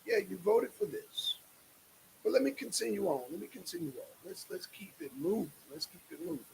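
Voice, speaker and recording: A man's voice: high-pitched, sing-song, a little fast, creaky, darkish tone, husky, rough recording. A man hosting a casual live stream, speaking in a repetitive, emphatic and exasperated way.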